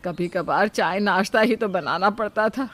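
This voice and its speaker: A woman voicing a dramatic, scolding old mother-in-law who complains in a drawn-out, exasperated wail for a cartoon story. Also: mid-range voice, fairly bright tone, natural voice. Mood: regretful. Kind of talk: cartoon moral story acting.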